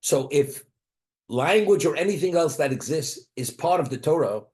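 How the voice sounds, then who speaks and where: A man's voice: on the high side, lively intonation, normal speed, a little mellow. Man teaching a Torah class with rhetorical questions. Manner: poses rhetorical questions and answers them; mixes Hebrew and Aramaic terms into English explanation.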